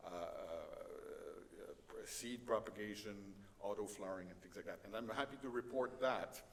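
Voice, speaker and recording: A middle-aged man with a French Canadian accent gives a conference presentation in a halting way, with many fillers and light self-deprecating humor. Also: on the low side. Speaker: conference speaker. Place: conference hall. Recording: reverberant conference hall with faint noise.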